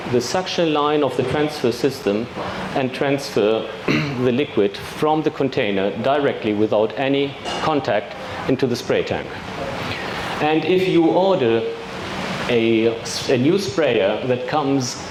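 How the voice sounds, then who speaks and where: A man with a German accent giving a technical presentation at a trade fair, measured, with fillers and throat clearing. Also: medium pitch. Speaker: industry expert presenter. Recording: echoey hall with background noise.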